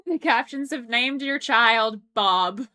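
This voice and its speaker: A woman's voice: on the high side, slow pace, sharp tone. A young woman hosting a casual coworking stream, reading viewer comments aloud, chatty with frequent laughs and ums. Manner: chatty, laughs often, many ums and restarts. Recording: faint background music. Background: faint music.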